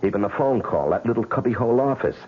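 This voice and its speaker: An actor playing a bitter, betrayed tough husband in an old radio crime drama, sardonic and intense. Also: male voice, medium pitch, lively intonation, fast, slightly rough. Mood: bitter, accusing. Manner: hard-boiled, sardonic, dramatic. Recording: dull, hissy old radio recording.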